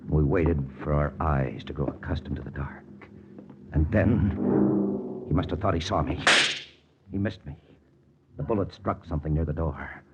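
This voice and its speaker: A man's voice: rumbling low, heavy background noise. A man playing a tense American intelligence lieutenant, narrating his mission tersely in an old radio drama. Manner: terse, hard-boiled, deliberate. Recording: muffled old radio recording with music.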